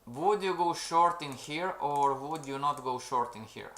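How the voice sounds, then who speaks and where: A man's voice: fairly high pitch, measured pace, a bit bright. A man running a live-streamed trading lesson who keeps calling out "everybody", asks "okay?" and reads chat messages aloud. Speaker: trading instructor. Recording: roomy echo.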